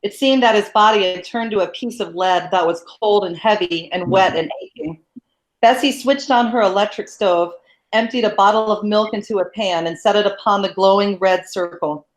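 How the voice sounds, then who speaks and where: A woman narrating a novel in a steady, measured read-aloud. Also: medium pitch. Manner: steady, even, measured reading. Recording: roomy echo.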